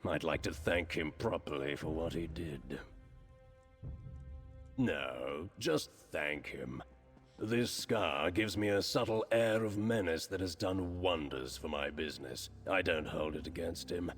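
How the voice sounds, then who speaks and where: Low-voiced, sly crime lord. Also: male voice, measured pace. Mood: wry, veiled menace. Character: smooth-talking, chatty male crime lord, slyly menacing. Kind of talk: fantasy video game dialogue. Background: background music.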